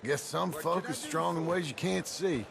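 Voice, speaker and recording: Gravelly American outlaw, fairly high voice. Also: male voice, normal speed, a crowd in the background. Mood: sombre, reflective. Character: rough, plain-spoken male Old West outlaw. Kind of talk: naturalistic game cutscene dialogue.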